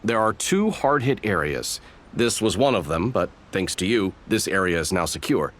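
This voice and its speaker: A man playing a gruff, plain-spoken veteran soldier in a video game, matter-of-fact and military. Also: low-pitched, big pitch swings, normal speed, fairly bright tone, heavily acted. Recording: faint noise behind the voice.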